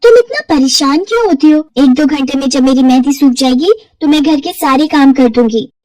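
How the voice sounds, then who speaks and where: Cartoon role: caring, stubborn teenage daughter played by a woman, high girlish voice, pleading delivery. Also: moderate pace, a little mellow, no echo, far from the mic, lightly acted. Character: sweet, stubborn, caring teenage daughter who loves henna.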